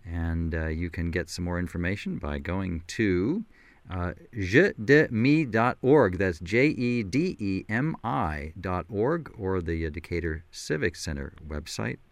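A man hosting a radio interview in a studio, talking in a relaxed way with frequent fillers. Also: low voice, a little slow. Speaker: radio host. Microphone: studio microphone.

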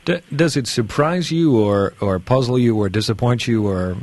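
A man's voice: medium pitch, moderate pace, a little mellow, a little hiss or hum. A man hosting a call-in radio show, asking long, wandering questions and reading out phone numbers. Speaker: radio host.

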